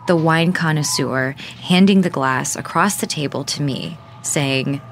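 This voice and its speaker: A woman's voice: sits low, unhurried, slight background noise. An actress playing a candid, self-aware female podcast reporter narrating a scripted audio drama. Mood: candid, wry. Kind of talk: scripted first-person documentary narration.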